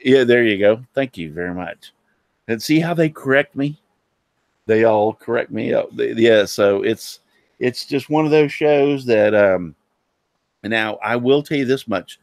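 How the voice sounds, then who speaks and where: A man hosting a casual live-streamed woodworking talk show, sounding nasal and a little hoarse, rambling with many fillers and restarts. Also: mid-range voice, animated, unhurried, dry recording.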